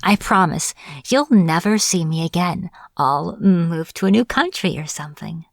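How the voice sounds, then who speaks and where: A woman's voice: on the low side, animated, unhurried, dry recording, clean studio sound. A woman acting as a captured witch in a fantasy audio roleplay, irritable and defiant, speaking informally with sarcastic exasperation. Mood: pleading. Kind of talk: dramatic fantasy audio roleplay, addressed to the listener.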